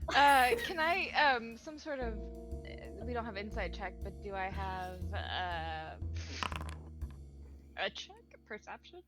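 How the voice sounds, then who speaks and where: A woman player talking in a hesitant, halting way. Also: mid-range voice, music in the background.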